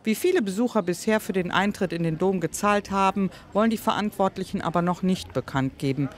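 A man delivering a formal, scripted news report in an even manner. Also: sits high, brisk.

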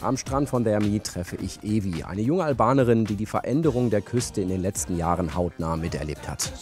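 Male travel reporter, low register, scripted documentary narration, clear music bed underneath. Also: expressive, brisk. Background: background music.

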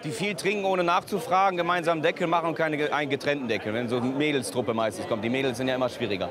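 A man from the Rhineland, a beer hall waiter, talking in a brusque, quick, joking way with guests. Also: a little high. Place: busy beer hall with outdoor seating. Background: music and crowd chatter.

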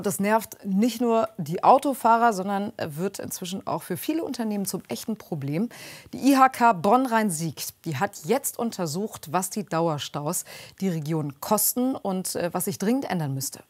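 A woman presenting regional news in a smooth, conversational scripted read. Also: mid-range voice, lively intonation, moderate pace.

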